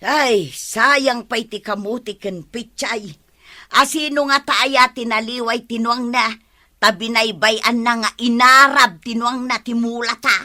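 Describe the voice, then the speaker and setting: A woman playing a bright, inquisitive village neighbor. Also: a little high, fairly even intonation, a little slow. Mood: pressing. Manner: inquisitive, questioning. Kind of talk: radio comedy drama acting.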